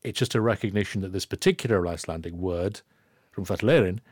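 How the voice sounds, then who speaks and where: A man's voice: low voice, fast, darkish tone, slightly rough, no room sound, studio quality. A middle-aged man hosting a podcast, chatting casually and jokingly with a guest, often restarting his phrases. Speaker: podcast host. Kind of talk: casual conversational interview.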